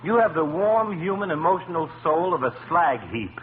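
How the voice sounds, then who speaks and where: An actor playing a wry, needling family doctor with a mock-pompous manner in an old radio comedy. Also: male voice, fairly high pitch, lively intonation, moderate pace, natural voice. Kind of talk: 1940s radio comedy acting. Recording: noisy tinny old radio recording.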